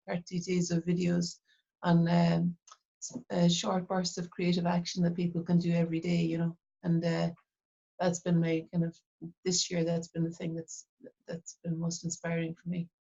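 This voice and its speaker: A middle-aged woman with an Irish accent from Cork, talking reflectively in an interview and pausing often with fillers and restarts. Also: fairly low pitch, vocal fry, dark tone, breathy edge, dull audio. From Ireland. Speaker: artist, interview guest. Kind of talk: casual interview talk.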